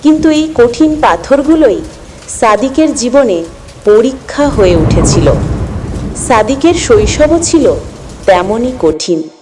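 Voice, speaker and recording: A woman storyteller speaks very slowly in a fairly high voice. She narrates a scripted tale with measured, expressive phrasing, over faint background noise.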